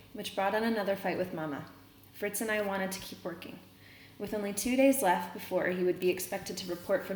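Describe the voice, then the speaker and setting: A woman reading a children's novel aloud, pausing to explain words as a teacher would. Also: medium pitch. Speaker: read-aloud reader and teacher. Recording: roomy echo, noticeable background noise.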